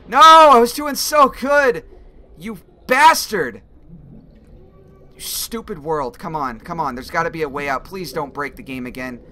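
A young man with a bright, forceful voice that carries a slightly raspy edge. He talks into a headset mic in a casual, excitable way, with faint background noise under his voice. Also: on the high side, a little slow. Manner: excitable, swears and yells when he fails. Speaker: gaming video creator. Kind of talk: casual let's-play commentary.

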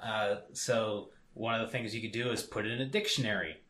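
A man's voice: lowish voice, fairly even intonation, a little fast, fairly bright tone, reverberant. A man co-hosting a casual tech podcast, rambling with many fillers. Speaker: podcast co-host.

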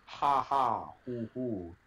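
A man co-hosting a live stream with a deep voice, dropping dry, jokey asides. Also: animated, drawn-out words, sharp tone, husky, low-quality recording. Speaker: stream co-host. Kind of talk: casual livestream chat.

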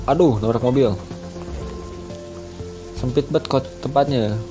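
A man's voice: medium pitch, measured pace. A young man with an Indonesian accent giving casual, slangy gameplay commentary into a headset mic. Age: young adult. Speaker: gaming YouTuber. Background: game music.

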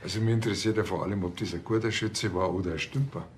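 A man's voice: deep voice, steady tone, fast talker, slight background noise, close to an everyday voice. An actor with a Bavarian accent playing a naive, earnest, bickering village policeman in colloquial speech. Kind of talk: acting in TV crime comedy.

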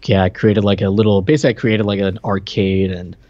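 A man's voice: lowish voice, level tone, quick pace, a little mellow, no echo. A middle-aged man co-hosting a podcast, chatting casually into a close desk microphone on a slightly dull stream. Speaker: podcast co-host. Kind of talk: casual chat on a film review stream. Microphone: desk microphone close up, video call.

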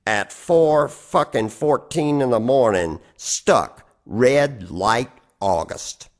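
A man giving a dramatic spoken-word poetry reading, with deliberate, theatrical and expressive phrasing. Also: mid-range voice. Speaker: poetry reader.

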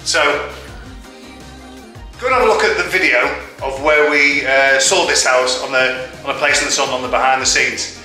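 A man's voice: medium pitch, normal speed, distant mic. A man, chatting cheerily to a handheld camera in a tiled bathroom as a renovation vlogger. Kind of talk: casual chat to camera. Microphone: handheld camera at arm's length. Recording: echoey tiled room, faint music.

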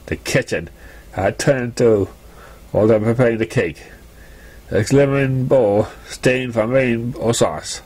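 A man reading a story aloud as an amateur narrator, with halting, stumbling delivery. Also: mid-range voice, darkish tone, breathy edge. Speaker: amateur fan fiction reader.

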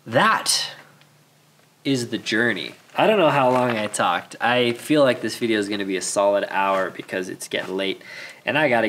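A man's voice: mid-range voice, fairly bright tone. A man in his thirties, a bit nasal and stuffed up, chatting candidly to camera as a channel host, with many restarts, inside a half-built house. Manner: rambling, candid, frequent restarts. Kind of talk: casual vlog talk to camera. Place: half-built house under construction, partly open.

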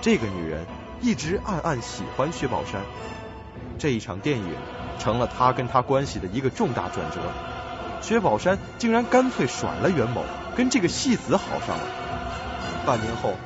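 Casting: male actor as uneasy young amateur investigator, radio play, highly expressive pitch, music underneath. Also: moderate pace, lo-fi sound. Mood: urgent, storytelling. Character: earnest, uneasy young man investigating a mystery. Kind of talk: Chinese suspense radio drama acting.

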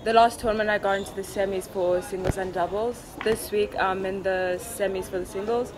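A woman's voice: mid-range voice, steady tone, fairly quick, background noise. Teen girl from Zimbabwe answering questions. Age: teens. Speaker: junior tennis player, guest. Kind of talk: casual interview answers. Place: outdoor tennis court.

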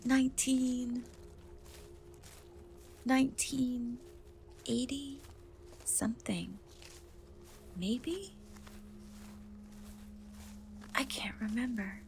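Gentle, slow-spoken cat girl, acted by a woman. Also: high-pitched, faint music behind, exaggerated voice. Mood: hesitant, sad. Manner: hesitant, soft, slow, often trailing off. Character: gentle, wistful cat-cursed young woman in dark fantasy. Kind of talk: soft-spoken ASMR roleplay.

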